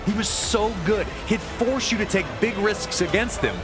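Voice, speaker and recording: A man with a high voice narrates in a dramatic, scripted sports style. He tells the action as a story. Loud music plays behind him throughout. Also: normal speed. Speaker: match narrator.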